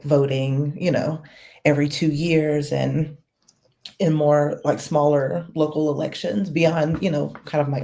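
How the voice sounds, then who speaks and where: A woman guest on a podcast interview, talking in a measured, thoughtful way with many fillers. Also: low-pitched, creaky, mellow. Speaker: guest, political volunteer. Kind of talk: casual interview.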